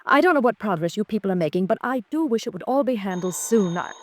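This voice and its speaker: An actress playing a formal, haughty wife with a brittle, genteel manner, in 1950s radio melodrama style. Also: female voice, medium pitch, expressive, quick pace, slight background noise, natural voice. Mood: cool, offended. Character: cool, proper, brittle wife of a missing man.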